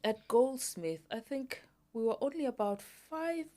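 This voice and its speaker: A woman co-hosting a podcast who answers in a hesitant casual way and fills with quiet backchannels. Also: on the high side, lively intonation, unhurried. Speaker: podcast co-host. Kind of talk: casual chat.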